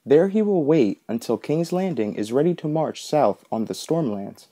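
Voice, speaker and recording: A man's voice: on the high side, expressive, moderate pace, mellow. A man narrating a scripted book analysis as the host of a video essay.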